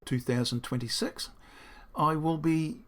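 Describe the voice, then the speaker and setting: A man, a builder, talking through his design in a casual diary-style video, with a croaky, hoarse voice, rambling and self-deprecating as he thinks aloud. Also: fairly high pitch, steady tone, measured pace.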